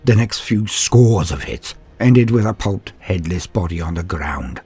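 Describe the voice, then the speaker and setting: A man with a gravelly, husky voice telling dark science fiction tales in a grave, theatrical storytelling style. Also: low voice, lively intonation, measured pace. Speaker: narrator. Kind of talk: dramatic scripted dark sci-fi storytelling. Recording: music bed under narration.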